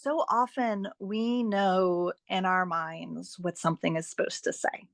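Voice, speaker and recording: A woman's voice: fairly low pitch, steady tone, measured pace, vocal fry, dry recording, studio quality. A woman speaking as a guest expert in a podcast interview, giving practical advice in an emphatic, encouraging way and repeating phrases for stress. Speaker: guest author and fiction editor.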